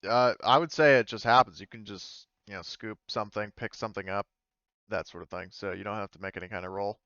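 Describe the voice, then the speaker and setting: Smooth, low-voiced male game master. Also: fast talker, a bit bright, no room sound, dull audio. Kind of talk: casual tabletop game narration.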